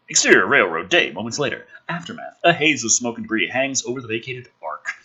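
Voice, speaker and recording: Man, host reading a script, sarcastic, exasperated, theatrical, very animated pitch, raspy edge. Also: moderate pace, muffled recording. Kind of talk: dramatic script reading with sweary commentary.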